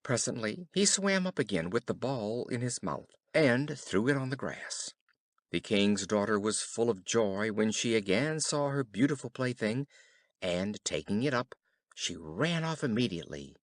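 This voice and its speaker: A man narrating a children's fairy tale in an expressive, careful storytelling style. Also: lowish voice, unhurried, dry recording, clean studio sound. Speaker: audiobook narrator.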